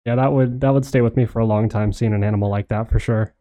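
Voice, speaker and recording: Casual male interviewer with a bright voice. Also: lowish voice, fairly even intonation, fast talker. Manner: agreeable, informal. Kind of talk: casual chat. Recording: slightly dull, lo-fi audio.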